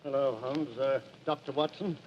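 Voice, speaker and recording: An actor playing an anguished, honour-bound general, middle-aged, halting and emotional, in 1940s radio style. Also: male voice, medium pitch, steady tone, natural voice. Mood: regretful. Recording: dull, noisy old radio recording.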